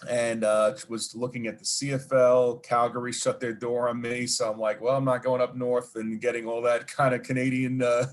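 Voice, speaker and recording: A man's voice: medium pitch, level tone, moderate pace, hardly any pauses. A man with a Brooklyn accent, a guest telling long stories in an interview, rambling with many fillers and asides. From New York. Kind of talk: casual storytelling interview.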